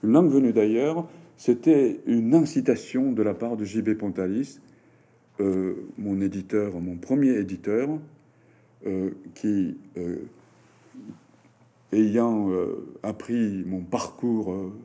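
Man, very slow, dark mellow voice, halting with fillers. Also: on the low side. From Japan. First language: Japanese. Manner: hesitant, halting, with frequent fillers and restarts. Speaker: writer and French teacher, interview guest. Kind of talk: interview answers.

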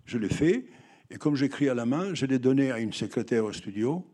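An older film director recalling his early films in an interview, halting and full of restarts. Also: medium pitch, lively intonation, mellow. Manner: halting, with many fillers and restarts. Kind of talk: reminiscing interview answers.

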